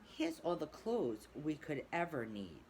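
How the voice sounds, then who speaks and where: A woman voicing a boastful, excitable rich male toad in a read-aloud storybook, loud and gushing. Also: low-pitched, unhurried, slight background noise, heavily acted. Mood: exuberant.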